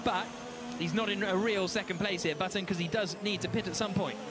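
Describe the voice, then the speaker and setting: Male motorsport commentator, notably high voice, calling live race action over loud engine noise. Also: steady tone, a little fast. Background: racing car engines.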